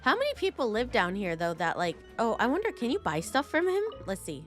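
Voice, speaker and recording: High-voiced, animated woman streamer. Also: fairly quick, soft background music. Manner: playful, rambling. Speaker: game streamer. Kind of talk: casual chatty live gameplay commentary.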